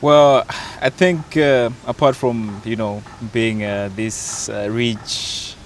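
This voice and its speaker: A young man, an actor and content creator, chatting playfully in an interview, unhurried and hesitant with fillers. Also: mid-range voice, fairly even intonation, a little hiss or hum. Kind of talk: casual interview chat.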